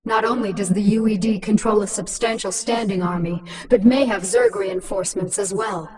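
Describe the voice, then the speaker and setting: A woman's voice: medium pitch, steady tone, moderate pace, distant mic, crowd noise, lightly acted. A woman playing a cold, scheming alien queen who commands without mercy in a video game. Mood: commanding, calculating. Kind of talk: dramatic video game voice acting.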